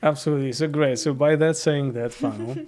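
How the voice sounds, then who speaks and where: A man's voice: a little high, a little fast, a little mellow. A man hosting a podcast interview in an office, friendly, with loose phrasing and frequent laughs. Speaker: podcast host.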